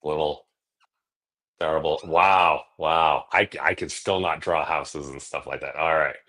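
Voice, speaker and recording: A man's voice: a bass voice, fairly even intonation, a little slow, bright, forceful tone. A man hosting a livestream in a casual, rambling chat, jokey and self-deprecating as he drifts into digressions. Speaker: livestream host.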